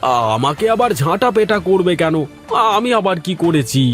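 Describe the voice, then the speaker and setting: A man's voice: high voice, animated, moderate pace, fairly bright tone, soft background music. A man voicing a hapless, nervous village shopkeeper husband in a cartoon, stammering and flustered as he makes excuses. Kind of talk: cartoon voice acting.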